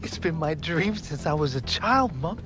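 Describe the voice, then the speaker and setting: An actor playing Alex, an earnest eighteen-year-old footballer, in a video game cutscene. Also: male voice, fairly high pitch, normal speed, over music, lightly acted. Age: young adult. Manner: excitable, earnest, wide-ranging delivery. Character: young male footballer Alex, eager and earnest.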